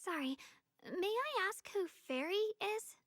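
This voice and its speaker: A woman voicing a gentle, curious young female disciple in an anime-style video game, polite and earnest. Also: very high-pitched, sing-song, measured pace, heavily acted.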